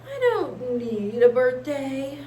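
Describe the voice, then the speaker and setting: A woman's voice: sits high, sing-song, slow, mellow, pitched above the performer's own voice, full character voice. A woman voicing a self-important, touchy female toy stingray in a storybook, grumbling and singsong by turns. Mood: sulky, hushed. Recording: echoey room with faint hiss.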